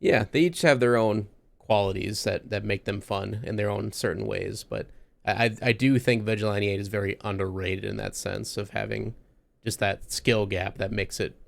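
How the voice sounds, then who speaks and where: A man's voice: on the low side, steady tone, normal speed, vocal fry. A man hosting a casual podcast chat, talking enthusiastically and rambling a little with restarts. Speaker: podcast host.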